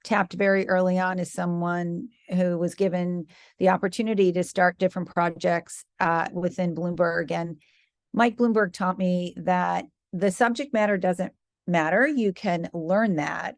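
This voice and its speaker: A woman's voice: fairly low pitch, steady tone, moderate pace, dry recording, clean studio sound. A woman in her fifties from the US Midwest, a podcast guest telling her story with easy laughs. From Michigan, US Midwest. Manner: warm storytelling with light laughs. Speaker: guest, company founder. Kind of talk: podcast interview.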